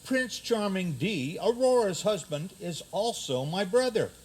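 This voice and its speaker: Man playing a high-voiced, smug prince. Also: a little mellow, slightly rough, full character voice, low-quality recording. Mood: courtly, defensive. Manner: courtly, self-justifying. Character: smug, formal fairy-tale prince defending himself. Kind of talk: community radio theater comedy acting.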